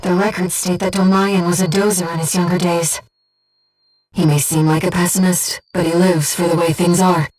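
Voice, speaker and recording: A woman playing an earnest, devoted young female spirit guide in a video game, speaking with composed, gentle precision as a mission operator. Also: on the low side, little pitch movement, moderate pace, distant mic, close to an everyday voice, poor audio. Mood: composed, informative. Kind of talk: scripted video game voice acting.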